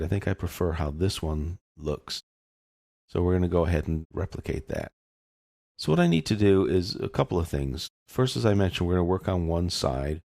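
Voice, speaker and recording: A man giving a casual, step-by-step software tutorial as an instructor, explaining in informal phrasing into a close mic. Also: low voice, creaky, no echo. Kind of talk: step-by-step screencast lesson, casual.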